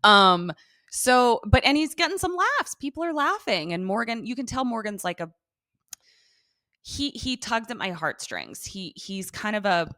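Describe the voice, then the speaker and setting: A middle-aged woman co-hosting a podcast, expressive, teasing and sweary, recapping and bantering casually into a close microphone. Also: a little high, unhurried, no echo. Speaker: podcast co-host.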